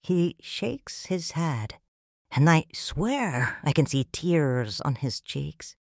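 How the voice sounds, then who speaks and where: A woman as a hushed grieving widow. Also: low-pitched, big pitch swings, gravelly, no room sound, studio quality, exaggerated voice. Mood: sorrowful. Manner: slow, hushed storytelling. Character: grieving widowed noble mother, quiet and restrained. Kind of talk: first-person story narration.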